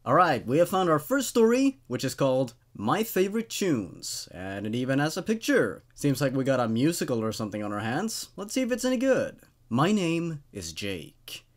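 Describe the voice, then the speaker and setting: Animated young man narrating horror stories. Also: a little high, normal speed, hardly any pauses. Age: 20s. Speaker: host reading horror stories. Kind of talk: expressive story narration with casual commentary. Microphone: studio condenser microphone on a stand.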